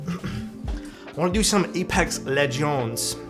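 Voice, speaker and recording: A young man, chatting casually as a livestream host, excitable and rambling with lots of "like" and "dude". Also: fairly high pitch, takes it slowly. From Canada. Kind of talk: casual livestream chat, reading chat messages. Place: his room at home. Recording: speech over background music. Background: background music.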